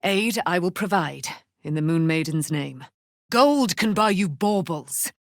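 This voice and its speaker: A woman's voice: fairly low pitch, expressive, a little slow, no echo, studio quality. A woman playing a proud, righteous immortal warrior in a video game, with grand, formal, declamatory delivery and archaic phrasing. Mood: proud, gracious. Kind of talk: dramatic video game voice acting.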